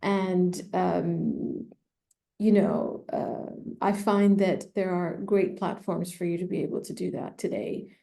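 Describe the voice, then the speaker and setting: A woman who specializes in personal branding, giving measured, thoughtful advice in an interview with frequent fillers. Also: on the low side, fairly even intonation, creaky, warm tone. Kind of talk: conversational expert advice.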